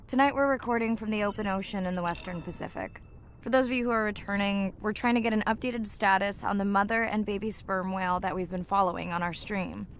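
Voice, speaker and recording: A woman's voice: medium pitch, steady tone, quick pace, a little hiss or hum, lightly acted. An actress playing an earnest female ocean scientist who narrates a livestream in a video game. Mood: composed, concerned.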